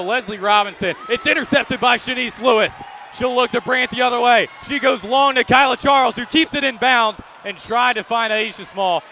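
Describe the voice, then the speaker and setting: A man calling live college games as a radio play-by-play announcer, energetic and projecting, often raising his voice. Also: high voice. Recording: clear live sports venue background noise.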